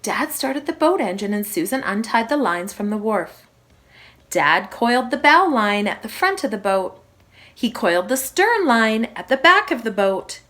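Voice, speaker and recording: Lively woman reading a children's storybook. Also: medium pitch. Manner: expressive, emphatic phrasing for young children. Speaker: primary school teacher reading aloud. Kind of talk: children's storybook read-aloud.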